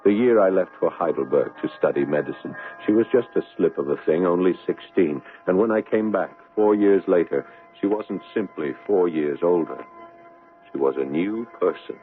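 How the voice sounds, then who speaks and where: Casting: man playing earnest young doctor haunted by lost love; low voice, dramatic delivery, muffled old radio broadcast. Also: soft background music, natural voice. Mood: wistful, haunted. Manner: wistful, deliberate, dramatic. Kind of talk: 1970s radio drama acting, intimate narration and dialogue.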